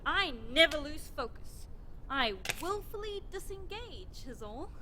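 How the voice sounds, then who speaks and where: A woman playing a chatty, polite, excitable young female rescuer in an audio drama, with a chirpy theatrical manner. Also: sits high, a little slow, noisy background. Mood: pondering.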